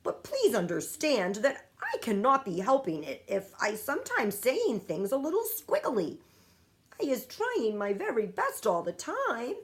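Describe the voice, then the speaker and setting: A woman voicing a very old, friendly male giant in a children's storybook, indignant and grand, with muddled grammar and emphatic drawn-out words. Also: on the high side, huge pitch swings, voice pushed up. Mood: forlorn.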